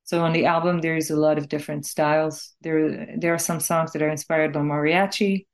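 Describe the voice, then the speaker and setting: A woman from Israel speaks in a low-pitched voice as an interviewed singer-songwriter. She is reflective and explains her thinking carefully, in a conversational way. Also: little pitch movement, fairly quick.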